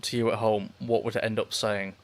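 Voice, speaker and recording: A British man co-hosting a comedy podcast, joking casually in a wry, halting way. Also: fairly low pitch, little pitch movement, noisy background. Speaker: podcast co-host.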